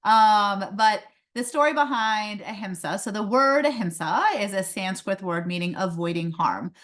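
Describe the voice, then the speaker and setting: Woman, raised in India, pediatrician, webinar talk, conversational, frequent fillers, mid pitch. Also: normal speed, a bit bright. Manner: conversational, many um fillers, light laughs. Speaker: pediatrician and company founder. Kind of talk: webinar presentation.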